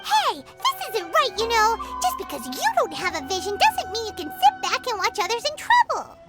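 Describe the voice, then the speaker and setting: A tiny, excitable female companion in a game, chattering in a very high, squeaky voice that leaps all over the place. She refers to herself in the third person. Music plays steadily behind her. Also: normal speed, heavily acted. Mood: indignant. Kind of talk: game voice acting.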